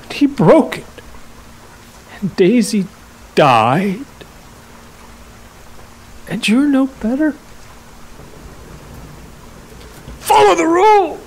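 An actor playing a stubborn, halting older man, a reclusive rental host, in an audio drama. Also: very high-pitched, sing-song, creaky, breathy edge, full character voice. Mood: anguished, furious. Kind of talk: naturalistic audio drama acting.

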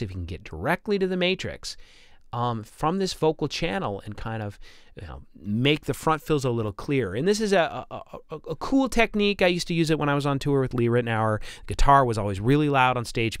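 A man with a smooth, clear voice gives an informal lecture as an instructor. He explains things conversationally, sometimes repeating a word as he goes. The sound is dry, with very little room in it. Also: fairly high pitch, fairly bright tone, no echo. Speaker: instructor, audio engineer. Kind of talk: informal lecture over slides.

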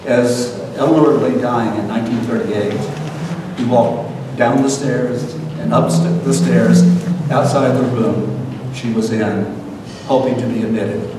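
A man's voice: fairly even intonation, unhurried. An older man giving a scripted public lecture as the host of an event. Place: meeting room, at lectern, far camera. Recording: very echoey hall from far off, noisy rumble.

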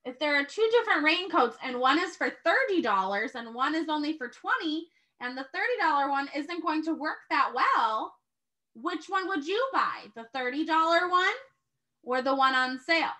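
A woman reads to first graders as their teacher, expressive and instructive. Her voice is high and bright, moving in lively swings as she narrates and asks questions. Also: moderate pace. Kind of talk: children's read-aloud with questions to pupils.

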